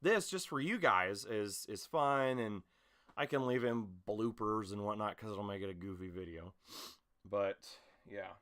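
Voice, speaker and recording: A man's voice: fairly low pitch, unhurried, a bit bright. A man chatting casually to camera in an easygoing, self-deprecating, rambling way as an amateur voice actor.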